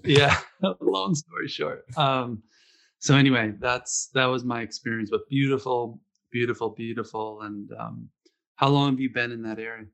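Male host, casual talker, middle pitch, rambles with fillers. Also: moderate pace, gravelly, fairly bright tone. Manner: rambling, with many fillers and quoted asides. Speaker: podcast host, former runner. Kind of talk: casual interview chat.

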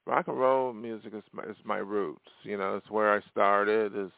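A man's voice: on the low side, fairly even intonation, moderate pace, vocal fry, no room sound. A man hosting his own podcast as a musician, rambling casually with many fillers and restarts. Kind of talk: casual solo podcast talk. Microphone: phone or voice-message recording. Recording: dry, phone-like lo-fi sound.

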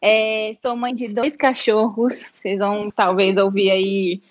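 A woman's voice: mid-range voice, little pitch movement, slow pace, dry recording. A woman from Minas Gerais with a Mineiro accent, a guest on a podcast, friendly and a little nervous, with many fillers. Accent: Mineiro Brazilian Portuguese. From Minas Gerais, Brazil. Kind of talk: casual interview. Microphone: home office setup. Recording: dull, dry lo-fi audio.

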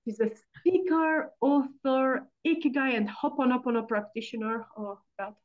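A woman's voice: sits high, moderate pace. Effusive woman host, dull lo-fi sound. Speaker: podcast host. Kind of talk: warm interview introduction.

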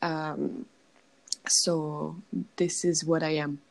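A woman with a French accent, a guest in a casual interview, often pausing and searching for words. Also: low-pitched, flat delivery, creaky, rough recording. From France.

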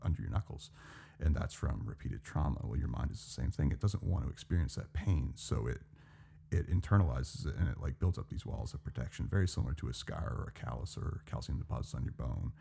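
A man with a very low, gravelly voice talks in a relaxed, casual podcast chat. His pitch rises and falls a lot as he explains things, and he drops the odd swear word. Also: fairly quick. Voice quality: deep voice. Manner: laid-back, swears casually, laughs often. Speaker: podcast co-host.

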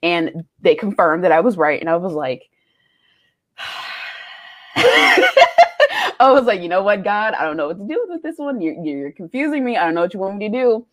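A young woman in her twenties co-hosting a faith podcast, telling personal stories candidly with frequent pauses and ums. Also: on the low side, animated. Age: young adult. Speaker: podcast co-host. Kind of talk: casual chat.

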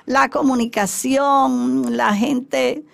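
A woman's voice: sits high, fairly even intonation, extremely slow, creaky. A middle-aged or older woman with a Dominican Spanish accent, telling her story emphatically in an interview and acting out remembered exchanges. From Dominican Republic. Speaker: interview guest, former representative of her country in China.

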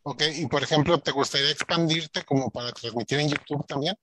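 A man's voice: fairly high pitch, a little fast, background noise. A man hosting a podcast in casual chat, rambling and filled with filler words. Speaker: podcast host.

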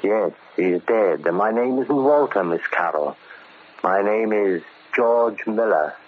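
An actor playing a vengeful, cunning ex-convict barber in 1940s radio drama, speaking with deliberate, menacing politeness. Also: male voice, on the low side, animated. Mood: coldly polite. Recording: scratchy, narrow-band old radio recording.

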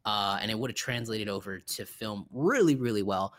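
A man's voice: lowish voice, normal speed, fairly bright tone. Casual, enthusiastic man podcast co-host. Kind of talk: casual film chat.

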